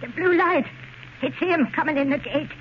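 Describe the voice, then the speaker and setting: A woman's voice: sits high, moderate pace, close to an everyday voice. An actress playing a frightened, superstitious village woman with rustic speech in a 1930s radio drama. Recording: muffled, noisy 1930s radio recording.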